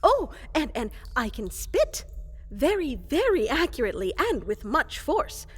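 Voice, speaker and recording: Woman playing an eager, flustered little monster girl, high-voiced. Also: very animated, soft background music. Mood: earnest, nervous. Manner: halting, stammering, apologetic, overly formal. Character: small, fluffy, eager llama-centaur monster girl, flustered and deferential. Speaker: applicant for evil advisor post. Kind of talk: scripted fantasy comedy roleplay. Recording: reverberant large hall.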